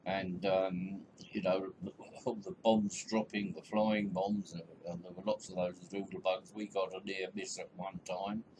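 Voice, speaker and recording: A man's voice: deep voice, little pitch movement, unhurried, few pauses. Chatty elderly man, noisy recording. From England. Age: about 80. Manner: chatty and rambling, with many ums and soft chuckles. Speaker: narrator of his own life story. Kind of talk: reminiscing voice-over narration.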